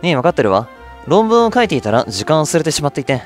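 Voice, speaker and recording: A man's voice: fairly high pitch, very animated, fast talker, soft background music. A man voicing a prickly, aloof scholarly woman with a curt, ladylike manner in a game.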